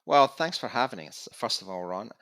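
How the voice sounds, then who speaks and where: A Scottish man in his fifties speaks as a podcast guest, chatty and easygoing as he tells his story. His voice is bright and forceful yet sits a little low, with a dry, close sound. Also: lively intonation, moderate pace, smooth, no room sound. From Scotland. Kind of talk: casual interview storytelling.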